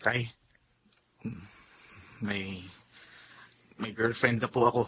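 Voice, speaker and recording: A man's voice: medium pitch, fairly even intonation, drawn-out words, a bit bright, slightly rough, heavily acted. An actor playing a sincere young man who speaks respectfully to his father in a radio drama. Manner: polite, sincere. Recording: muffled radio-play recording with faint noise.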